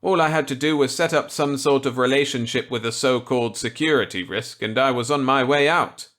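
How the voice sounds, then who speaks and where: Male narrator as pipe-smoking scientist, genial, wry, unrepentant, breezy clipped phrasing, raised pitch, audiobook character. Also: brisk, a bit bright, natural voice. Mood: wry, assured.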